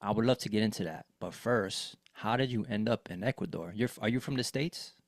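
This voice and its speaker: A male podcast host with a low voice, recorded in a dry setting with no room echo. He asks curious, encouraging questions to draw out his guest. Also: a little fast. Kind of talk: casual interview chat.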